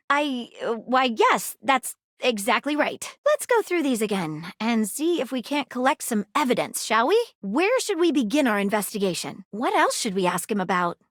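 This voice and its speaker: A woman's voice: a little high, fairly bright tone, no echo, clean studio sound. A woman playing an earnest, inquisitive detective in a video game, with theatrical, articulate and courteous delivery. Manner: theatrical, articulate, formal phrasing. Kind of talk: acted video game dialogue.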